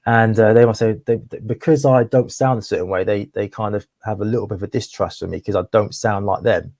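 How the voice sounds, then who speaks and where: A man's voice: lowish voice, steady tone, fairly quick, a little mellow, dry recording. A young man from Wales, a property investor, talking candidly and at length in an interview, often repeating words. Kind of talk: casual interview conversation.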